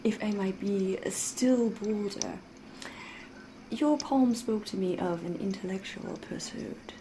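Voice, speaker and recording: A woman acting as ancient vampire woman, ASMR roleplay, very slow, soft whispery voice, coy and ominous, noisy ambience behind. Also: medium pitch. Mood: coy, flirtatious. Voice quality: breathy, soft, often whispering. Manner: coy, deliberate, ominous, theatrical, drawn-out phrasing.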